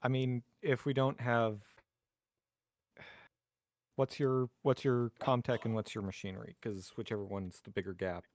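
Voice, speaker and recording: A man's voice: mid-range voice, fairly even intonation, normal speed, pauses often, dry recording. A man talking casually as a player at a tabletop roleplaying game.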